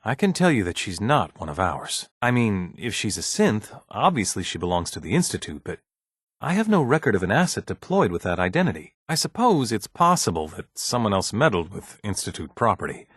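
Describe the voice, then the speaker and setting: An actor playing a mild, bureaucratic scientist in a video game, polite, measured and slightly uneasy, with a hesitant, deferential manner. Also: male voice, lowish voice, big pitch swings, breathy edge, no echo, clean studio sound. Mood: analytical, guarded. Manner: hesitant, deferential, measured office-professional speech. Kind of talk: video game dialogue acting.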